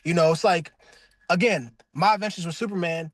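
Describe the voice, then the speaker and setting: A man being interviewed about his voice work, gushing in a loose, rambling way full of "like" and restarts. He sits high for a male voice, though he calls it deep himself. The sound is dry and close, with no room in it. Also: brisk, no room sound. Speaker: voice actor guest. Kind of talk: casual interview chat.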